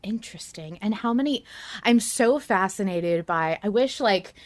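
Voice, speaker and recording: A woman's voice: medium pitch, expressive, moderate pace. A woman hosting a podcast, chatting casually in earnest, emphatic, run-on thoughts about a story she follows as a fan. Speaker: podcast host. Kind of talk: casual fan discussion of an audio drama.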